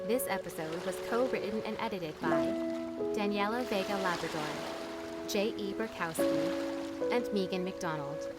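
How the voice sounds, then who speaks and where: A woman announcer reading scripted podcast credits in a plain, orderly way. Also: medium pitch. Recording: loud music bed under voice. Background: loud music.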